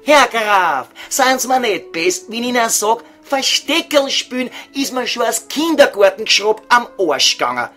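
A man's voice: very high-pitched, sing-song, normal speed, few pauses, bright, forceful tone, soft background music, heavily acted. A man playing a cheeky, foul-mouthed estate agent in a comedy audio sketch, talking colloquially with a Viennese accent. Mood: wheedling, familiar. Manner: crude, wheedling, colloquial.

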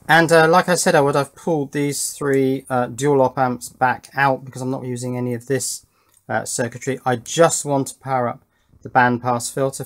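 A man hosting an electronics build video, giving casual hands-on commentary and thinking aloud as he works. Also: mid-range voice. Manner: thinking aloud while working. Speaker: host of an electronics build channel. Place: indoor workbench.

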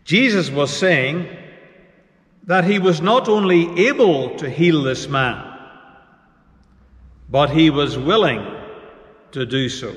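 Male pastor, high voice, slow deliberate sermon delivery, long church reverb. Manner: slow, measured preaching with pauses. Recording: echoey church hall reverb.

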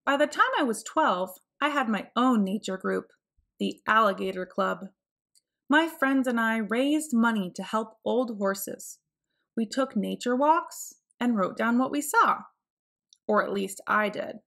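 A woman with a fairly high voice narrates a children's picture book. She reads in an expressive storytelling style, phrasing each line clearly for young listeners. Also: a little slow. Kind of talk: read-aloud storybook narration.

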